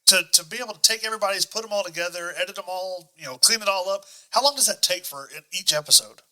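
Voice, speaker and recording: A man with a high-pitched voice and a very bright, piercing tone. He acts as a podcast co-host. He puts his questions in a casual, conversational interview style. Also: quick pace, poor audio.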